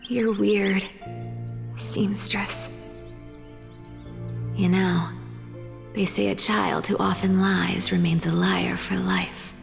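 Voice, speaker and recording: A woman voicing a guarded young female thief and habitual liar in a video game, with a slow, teasing, self-deprecating way of talking. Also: medium pitch, animated, vocal fry, full character voice. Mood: wistful, doubting. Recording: long hall reverb over music.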